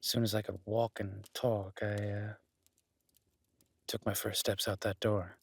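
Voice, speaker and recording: A man playing a kind, protective woodsman in an intimate roleplay audio drama, speaking in an unhurried, reassuring and wryly teasing way with a husky voice. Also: fairly low pitch, flat delivery, slight background noise. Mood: easygoing, warm. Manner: soft-spoken, unhurried, reassuring, wryly joking. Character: gruff-kind woodsman host, gently teasing, protective cabin dweller.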